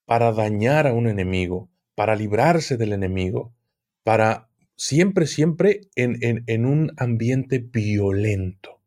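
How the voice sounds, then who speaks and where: Slow, hesitant man explaining. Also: mid-range voice, animated, a little mellow. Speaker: guest occult expert. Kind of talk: podcast conversation.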